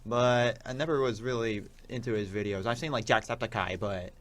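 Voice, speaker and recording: A man's voice: mid-range voice, fairly even intonation, fairly quick. A man speaking as a guest co-host, chatting casually and jokingly about video games in a laid-back way. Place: small radio studio. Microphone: studio microphone, headphones on.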